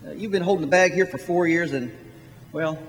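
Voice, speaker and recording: An actor playing an affable, sheepish young brother in a radio drama, earnest in tone. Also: male voice, a little high, fairly quick, slight background noise, lightly acted. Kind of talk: stage-style radio drama acting. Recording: hall reverb.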